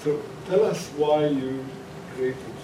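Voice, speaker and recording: Guy hosting a panel, trips over words, loud noisy room far from the mic. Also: on the high side, takes it slowly. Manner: stumbling, repeats words. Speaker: panel moderator. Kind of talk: introducing guests. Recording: distant, echoey, very noisy.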